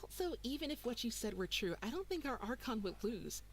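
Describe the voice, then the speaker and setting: Upbeat, chatty young townswoman, lively pitch, game side character. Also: brisk, faint music behind. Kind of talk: game dialogue.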